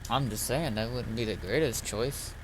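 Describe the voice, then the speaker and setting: A man's voice: mid-range voice, normal speed. A man chatting casually in character during an online roleplay game. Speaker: roleplay gamer. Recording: noisy game voice chat, background hum.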